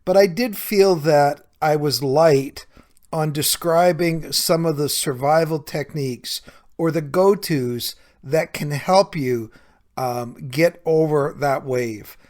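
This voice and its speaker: Male podcast host and coach, slightly high voice, conversational, earnest, explanatory delivery. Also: slow, darkish tone. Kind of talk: conversational solo podcast talk.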